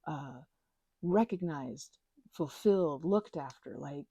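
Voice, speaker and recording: A woman chatting gently in a meandering ASMR ramble, full of fillers and restarts, speaking affectionately to her listeners. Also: deep voice, expressive, slow, breathy edge, no echo, highs cut off. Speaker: ASMR creator. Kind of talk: soft-spoken ASMR ramble while crafting.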